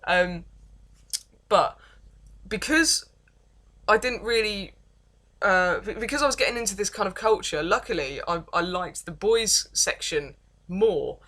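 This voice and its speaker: A young woman with an English accent and a deep voice, telling her life story in a rambling, self-deprecating vlog with many false starts. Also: lively intonation, a little slow, pauses often, pushed and bright. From England. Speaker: vlogger. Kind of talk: casual confessional vlog, reading from notes.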